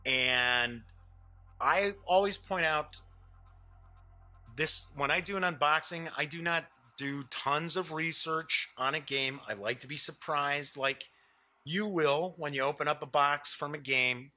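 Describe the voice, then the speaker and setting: A man's voice: a little high, a little slow, pushed and bright, soft background music. A middle-aged man hosting a casual live unboxing show, chatty and jokey, often wandering off on small asides. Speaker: show host.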